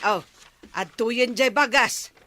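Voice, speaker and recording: A woman's voice: fairly high pitch, pushed and bright, slight background noise. A woman playing a nosy, mocking yet preachy village woman in an Ilocano radio comedy drama, teasing and admonishing with an Ilocano accent. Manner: chuckling, teasing, drawn-out admonishing.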